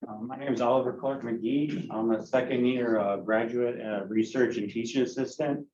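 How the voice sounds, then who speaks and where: A male graduate research assistant introducing himself hesitantly, with pauses and fillers. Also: mid-range voice, fairly even intonation, darkish tone. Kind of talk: self-introduction at a group meeting. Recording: muffled, echoey room.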